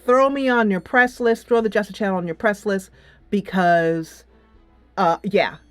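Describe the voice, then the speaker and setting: A woman speaks as a chatty channel host reviewing games in an informal, playful way. Her voice sits at a medium pitch, recorded very dry with almost no room sound. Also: fairly even intonation, a little slow, dry recording, soft background music. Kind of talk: casual solo vlog commentary.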